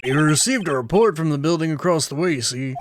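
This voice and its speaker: A man's voice: on the high side, expressive, fairly quick, background noise, natural voice. A man voicing a bumbling, brash male police detective who blusters and tacks "see?" onto his lines. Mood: blustery.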